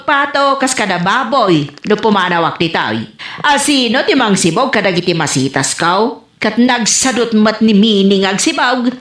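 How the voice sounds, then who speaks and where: A woman's voice: on the high side, fairly bright tone. A woman playing a stubborn old rural grandmother with an Ilocano accent, querulous and exclaiming, in a comedy radio drama. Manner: querulous, exclaiming, broken English. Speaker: actor. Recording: echoey room.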